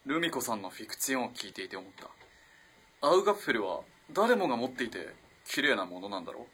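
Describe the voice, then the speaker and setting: An actor playing an earnest, lovestruck young student in an early twentieth-century Japanese radio play, halting and moving between hushed and impassioned delivery. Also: male voice, medium pitch, very animated, slight background noise. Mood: earnest, reasoning. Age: young adult. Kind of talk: dramatic radio play acting.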